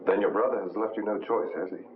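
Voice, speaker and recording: Guy acting a smooth american mystery hero in an old 50s movie, wry, low voice, really noisy thin sound. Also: steady tone, fast, narrow, tinny audio, close to an everyday voice. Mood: courteous, probing. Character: suave, confident crime-fighting man with secret powers. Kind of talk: 1950s film drama acting.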